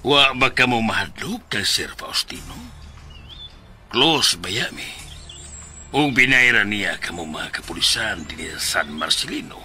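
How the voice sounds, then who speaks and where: A blustering Filipino man of the cloth in a radio drama. He drawls slowly and theatrically, very bright and forceful, with big swings in pitch. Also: expressive, heavily acted. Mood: sly, taunting. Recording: music behind the voice.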